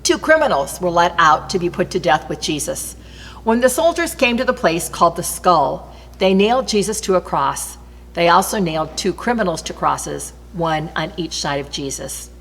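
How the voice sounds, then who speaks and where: Low-voiced woman reading scripture in reverberant hall. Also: lively intonation, moderate pace, a bit bright, a little hiss or hum. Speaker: scripture reader. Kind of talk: expressive read-aloud Bible narration. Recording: long reverberant hall echo.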